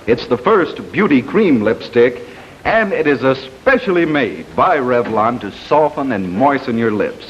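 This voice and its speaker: Higher-pitched male 1950s game show host. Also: fairly bright tone. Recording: muffled, noisy 1950s television broadcast.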